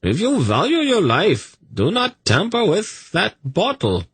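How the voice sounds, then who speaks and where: High-pitched, fawning, nervous fellow. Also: male voice, fairly even intonation, very slow, dry recording. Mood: anguished pleading. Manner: wheedling, apologetic, deferential. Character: nervous, fawning, pleading male snake keeper, coaxing his pet.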